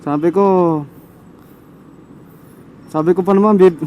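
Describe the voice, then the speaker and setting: A man's voice: high voice, steady tone, unhurried, dull audio, a little hiss or hum. A man with a Filipino accent casually muttering and swearing to himself as he vlogs from a motorcycle through a helmet intercom mic. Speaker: motorcycle vlogger. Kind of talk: casual motovlog chat while riding. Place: outdoors on a motorcycle in traffic. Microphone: helmet intercom microphone.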